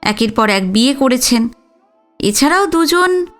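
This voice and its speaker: An actress playing an educated young daughter-in-law in an audio story, respectful but probing, with a polite, pointed, articulate delivery. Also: female voice, high-pitched, lively intonation, a little slow, faint music behind. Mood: challenging. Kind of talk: Bengali audio story acting.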